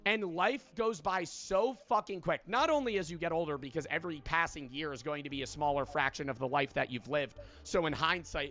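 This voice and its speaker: Lively, high-voiced man in his twenties. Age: 20s. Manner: emphatic, frequent swearing. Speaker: online video creator. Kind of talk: motivational monologue. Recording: dull lo-fi sound from a played video.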